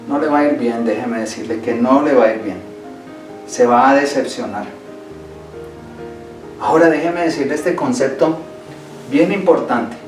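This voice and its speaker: A man preaching slowly and deliberately from the pulpit, his voice sitting a little high for a man. He hammers home key phrases by repeating them. The sound rings through an echoey church hall, picked up from some distance, with a faint guitar playing underneath. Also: a little mellow. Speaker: pastor. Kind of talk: Sunday sermon. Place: church sanctuary.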